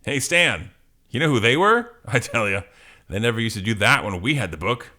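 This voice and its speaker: A man's voice: mid-range voice, big pitch swings, moderate pace, pushed and bright. Man doing a grumbling old New Yorker.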